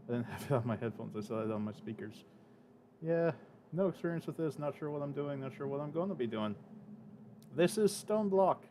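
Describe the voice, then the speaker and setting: A man's voice: mid-range voice, a little mellow, slight background noise. A man streaming a game from home, talking in a rambling, hesitant, self-deprecating way. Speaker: gaming streamer. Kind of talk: casual gameplay commentary.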